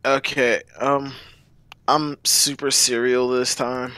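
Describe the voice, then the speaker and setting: A man's voice: mid-range voice, level tone, takes it slowly, pushed and bright, no echo. Man in a casual chat, dry close sound. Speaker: voice-chat guest on a livestream. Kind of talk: casual, profane fan discussion.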